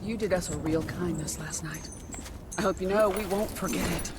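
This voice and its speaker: A man's voice: sits high, normal speed, heavy background noise, close to an everyday voice. An actor playing a grateful frontier townsman who speaks earnestly.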